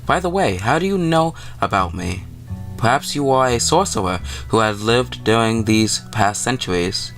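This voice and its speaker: A man's voice: fairly low pitch, expressive, moderate pace, fairly bright tone. A man voicing a haughty, irritable witch girl in a visual novel, curt and scornful. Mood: curious, suspicious. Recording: music playing behind the voice.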